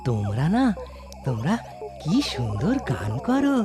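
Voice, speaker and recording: Bold, scheming village husband for kids' cartoon, played by a woman, hugely animated delivery, faint music underneath. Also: rumbling low, a little slow, exaggerated voice. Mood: coaxing, confident. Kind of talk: animated cartoon dialogue for children.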